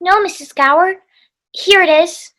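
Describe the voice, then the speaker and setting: A woman's voice: very high-pitched, unhurried, sharp tone, exaggerated voice. A woman playing an earnest, frightened young boy in an old-time radio play. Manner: pleading, earnest.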